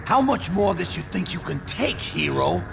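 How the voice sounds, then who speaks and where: A cocky thug villain from a video game, extremely gravelly and fairly high for a man. He jeers and taunts in a wildly swinging delivery. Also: very animated, normal speed, heavily acted. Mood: taunting. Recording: music playing behind the voice.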